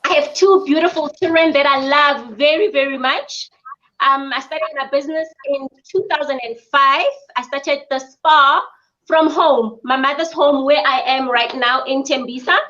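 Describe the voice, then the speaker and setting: Woman from South Africa with a high voice, speaking earnestly and at a measured pace, recorded on dull lo-fi audio. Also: bright, forceful tone. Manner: measured, earnest, with frequent ums. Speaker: spa business owner. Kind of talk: interview answers. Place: her mother's home.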